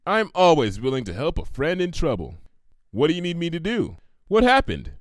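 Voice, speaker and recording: A kind young cartoon unicorn mare, voiced by a man, earnest and gently concerned. The intonation is very lively. It is recorded dry and close. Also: fairly high pitch, normal speed, no echo.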